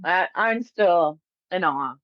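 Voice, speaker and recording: Lady host, lowish voice, dry dull mic, lots of yeahs. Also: lively intonation, takes it slowly, no echo. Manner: affirms often with short yeahs, asks questions. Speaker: podcast host. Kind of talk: casual chat.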